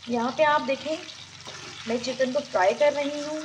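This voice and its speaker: A woman, hosting a home cooking tutorial and calmly giving the viewer step-by-step instructions. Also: high voice, moderate pace, noisy background. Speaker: cooking channel host. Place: home kitchen.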